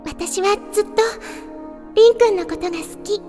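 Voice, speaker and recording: An actress playing a sweet, devoted and bashful teenage girl in an anime audio drama, gentle and earnest. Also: very high-pitched, moderate pace. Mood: wistful, tender. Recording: music behind the voice.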